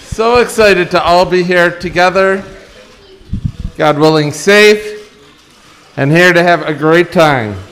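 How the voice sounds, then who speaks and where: A man hosting a live family event, welcoming the audience and announcing what comes next. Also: fairly high pitch. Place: social hall, live broadcast.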